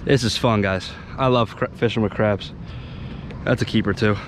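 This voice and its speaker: A young American man giving excitable, slangy casual commentary while fishing, recorded outdoors on an action camera. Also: fairly low pitch, normal speed. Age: young adult. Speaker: fishing vlogger. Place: outdoors on a small boat under a bridge. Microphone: head-mounted action camera. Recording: noisy outdoor action-camera audio on boat.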